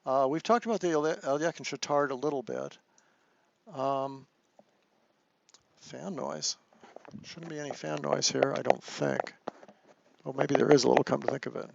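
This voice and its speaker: A man teaching a live online chess lesson, soft-spoken and thinking aloud as he walks through moves, with frequent restarts. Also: medium pitch, moderate pace, vocal fry, pauses often, lo-fi sound, noisy background. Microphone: desktop microphone.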